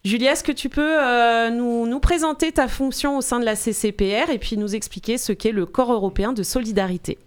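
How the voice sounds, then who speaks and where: A woman's voice: fairly high pitch, brisk, hardly any pauses, clear, resonant tone. A woman hosting a local radio interview program, introducing guests in a friendly, lightly scripted way. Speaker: radio show host.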